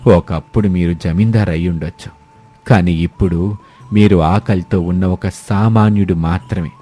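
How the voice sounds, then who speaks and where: A stern village elder, played by a man in a cartoon fable. He has a very deep, dark voice and scolds deliberately over background music. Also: steady tone. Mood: scolding. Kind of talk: scripted moral-story cartoon dubbing.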